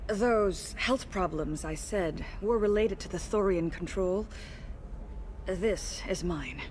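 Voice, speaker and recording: An actress playing an earnest, polite alien woman in a video game, speaking in a measured, diplomatic manner. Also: medium pitch, darkish tone, a little hiss or hum, close to an everyday voice. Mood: earnest, concerned. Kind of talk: video game dialogue acting.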